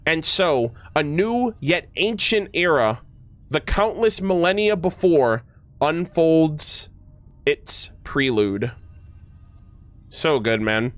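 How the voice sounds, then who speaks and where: Slow-paced, higher-voiced man streaming games. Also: expressive, a bit bright, no room sound. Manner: enthusiastic, slangy, often stumbles over words. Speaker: streamer reacting to a video game. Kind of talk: casual gaming commentary mixed with reading text aloud.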